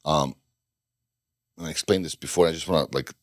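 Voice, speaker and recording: Middle-aged man from Bosnia, very low voice, loose rambling interview talk, dry studio sound. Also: a little fast, no room sound. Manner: rambling, restarts sentences, dry self-deprecating jokes. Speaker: podcast guest, former undercover FBI agent. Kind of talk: casual interview conversation.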